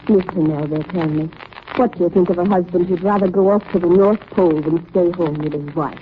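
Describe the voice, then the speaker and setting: A woman's voice: on the low side, brisk, close to an everyday voice. Woman playing a genteel captain's wife, tinny recording. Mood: playfully teasing. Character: captain's pretty wife, genteel, playful and self-possessed. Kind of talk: 1930s radio drama acting. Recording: thin, hissy 1930s radio recording.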